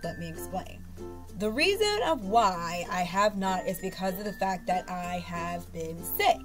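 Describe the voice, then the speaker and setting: A woman's voice: on the low side, lively intonation, measured pace, over music. A young woman in her twenties, congested from a cold, rambling casually with many fillers as she talks to her webcam at home. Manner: rambling, lots of fillers and restarts. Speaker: beauty vlogger. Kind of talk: casual haul vlog to camera. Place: bedroom at home, indoors. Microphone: webcam at arm's length.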